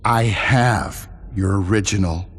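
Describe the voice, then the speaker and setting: A man's voice: lowish voice, takes it slowly, background noise. An actor playing a smug, scornful businessman villain in a video game cutscene, condescending and theatrical.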